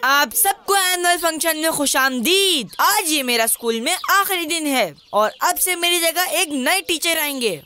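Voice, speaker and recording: Bright, high-voiced cartoon schoolteacher. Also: female voice, expressive, measured pace, hardly any pauses, soft background music, exaggerated voice. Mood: earnest, welcoming. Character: encouraging, earnest schoolteacher addressing children. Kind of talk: children's cartoon dubbing.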